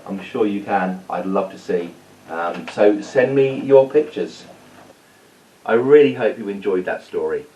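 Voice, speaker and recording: A man's voice: on the low side, mellow. A man speaking as a teacher, telling a story and chatting encouragingly to children. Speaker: teacher reading a story to pupils. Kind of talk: storytelling and informal address to children. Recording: dull sound, distant from mic.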